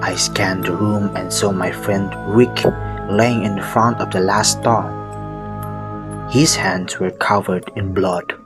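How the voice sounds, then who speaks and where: A man acting as a traumatized survivor tells a grim first-person horror tale for an audio play. The voice is fairly low and the telling very slow, with music clearly behind it. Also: fairly even intonation. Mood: grim recounting. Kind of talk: scripted audio drama horror narration.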